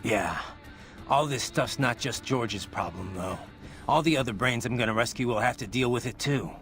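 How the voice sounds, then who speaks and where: An actor playing a grim, brooding male cyborg mercenary in a video game, with a gravelly voice and a terse, dry, sardonic way of talking. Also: medium pitch, normal speed, fairly bright tone, soft background music, natural voice. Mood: sober, dry.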